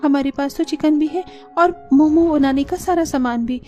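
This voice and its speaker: A woman playing a gentle, caring young woman of a poor family in an earnest cartoon style. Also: sits high, moderate pace, natural voice. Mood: earnest, pleading. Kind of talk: cartoon dialogue acting. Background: background music.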